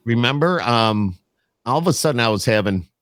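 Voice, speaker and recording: A man's voice: medium pitch, lively intonation, moderate pace, a bit bright, no room sound. Casual male podcast host, dry close sound. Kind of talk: casual interview chat.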